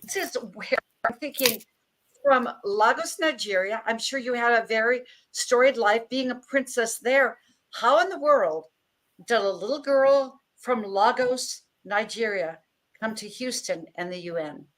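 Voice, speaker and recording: Gushing, higher-voiced woman host. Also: unhurried, fairly bright tone, rough recording. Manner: gushing, playful, exaggerated praise. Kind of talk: interview hosting.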